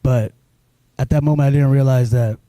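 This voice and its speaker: A man from Sudan tells his story in a very dark, mellow voice, stumbling over restarts and leaning on "you know" as he goes. The sound is dry and close, with no room around it. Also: medium pitch, steady tone, normal speed, dry recording. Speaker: guest. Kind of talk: personal storytelling interview.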